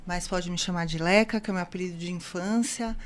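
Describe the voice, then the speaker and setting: A woman in her fifties from São Paulo, a guest on an interview podcast, telling her story in a lively way and acting out the dialogue she quotes. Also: fairly low pitch, brisk. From São Paulo, Brazil. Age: 50s. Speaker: guest, open-water ultramarathon swimmer.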